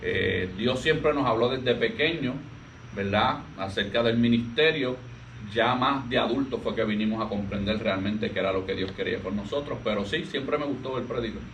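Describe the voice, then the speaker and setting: A man in his forties with a Puerto Rican accent, a pastor talking about his life in a relaxed interview, soft-spoken and halting with frequent restarts. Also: mid-range voice. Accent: Puerto Rican Spanish. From Puerto Rico. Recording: distant mic with faint ambient noise.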